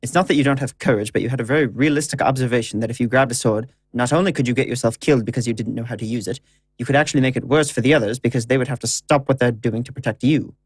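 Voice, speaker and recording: An actor playing a seasoned sword instructor in an audio drama, dry, blunt and patient, with a measured teaching manner. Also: male voice, medium pitch, husky, dry recording, natural voice. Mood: firm, instructive.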